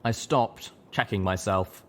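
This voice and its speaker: A man's voice: lowish voice, slow pace, fairly bright tone, slight background noise. A man reading first-person dramatic story narration as an audiobook narrator.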